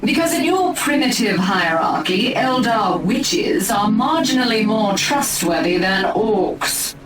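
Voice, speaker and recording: A woman's voice: medium pitch, animated, takes it slowly, reverberant, distant mic, music in the background, rough recording. A woman voicing a haughty, commanding alien elf war leader in a video game, formal and imperious. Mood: disdainful.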